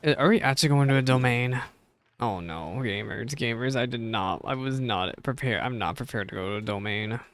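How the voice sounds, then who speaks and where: A man's voice: mid-range voice, brisk, fairly bright tone, slight background noise. A man reacting live to a video game in a casual, chatty way, thinking aloud as he plays. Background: video game music.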